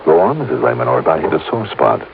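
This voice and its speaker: An actor playing a tough, blunt, shrewd insurance investigator in a vintage radio detective drama. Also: male voice, a bass voice, very animated, fast, creaky, background noise, full character voice. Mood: needling. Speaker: lead actor and narrator of a radio detective series. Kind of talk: 1950s radio detective acting. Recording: muffled vintage radio, loud music.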